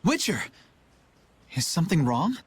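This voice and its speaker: A man playing a courteous, earnest young knight commander with a polite, measured manner in an anime game dub. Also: fairly high pitch, very animated, breathy edge, exaggerated voice. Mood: polite, concerned.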